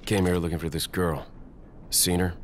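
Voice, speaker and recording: Terse, low-voiced young American agent by a male actor. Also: level tone, normal speed, a little hiss or hum, natural voice. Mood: wary, dry. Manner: terse, dry. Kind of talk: video game cutscene acting.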